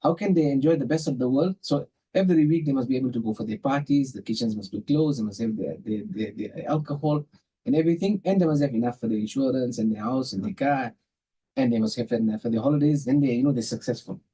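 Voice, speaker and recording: A South African man with a husky, mellow voice talks as a religious scholar in a conversational way. He drives his points home by repeating phrases with emphasis. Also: mid-range voice, quick pace, poor audio. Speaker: Islamic scholar, podcast guest.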